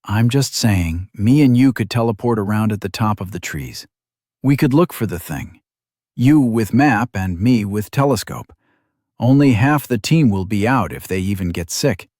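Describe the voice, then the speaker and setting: A narrator reading a restless young man, earnest and persuasive, who nudges his friend toward risky plans. The voice sits low and close, with a dry sound. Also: animated, moderate pace, a little mellow, dry recording. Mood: pressing.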